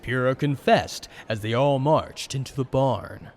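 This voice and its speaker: A man's voice: medium pitch, measured pace, gravelly, darkish tone. A man giving dramatic scripted narration of a story.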